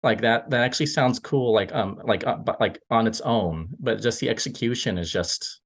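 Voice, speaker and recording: A man's voice: lowish voice, moderate pace, husky. A man discussing films casually, circling back over his phrases as he thinks aloud. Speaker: podcast panelist.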